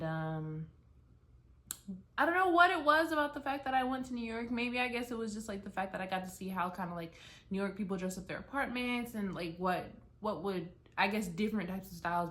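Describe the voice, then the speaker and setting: A woman's voice: mid-range voice, quick pace, fairly bright tone. A young American woman vlogging from her apartment while packing to move, rambling casually with lots of "like" fillers and restarts. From United States. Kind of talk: casual rambling chat to camera.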